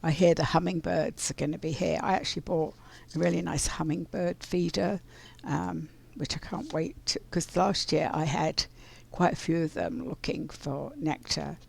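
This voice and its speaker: A woman with a scratchy voice from pollen, doing a casual oracle card reading in an unhurried, reflective way. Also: low voice, vocal fry, slightly rough. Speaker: spiritual card reader.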